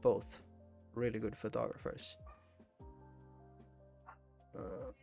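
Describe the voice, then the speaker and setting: Finnish guy chatting on a photo stream, gravelly fry in his voice, kinda hesitant, repeats himself, bit of music in the back. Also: fairly low pitch, level tone, slow, darkish tone. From Finland. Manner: hesitant, repeats words, thinking aloud. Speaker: photography livestream host, street photographer. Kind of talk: casual chat while editing photos.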